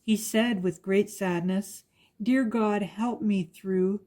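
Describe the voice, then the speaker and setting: A woman reading a rhyming children's picture book aloud with a measured, sing-song delivery. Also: medium pitch, mellow. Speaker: guest story reader.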